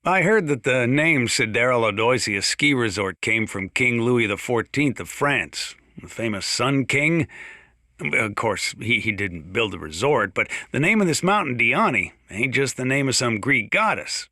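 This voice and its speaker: Folksy American mountain sheriff, bright gritty edge. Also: male voice, mid-range voice, lively intonation, measured pace, no room sound, clean studio sound. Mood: chatty, wry. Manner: folksy, wry, drawling storyteller. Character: folksy, gruff, sardonic older small-town mountain sheriff. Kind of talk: in-character radio monologue for a video game.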